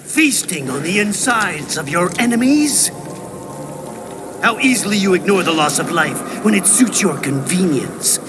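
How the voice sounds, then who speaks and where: A man playing a sinister, philosophical video game villain, speaking in a deliberate, theatrical, taunting way with a fatalistic streak. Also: high-pitched, lively intonation, noisy background. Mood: mocking menace. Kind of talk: video game cutscene acting.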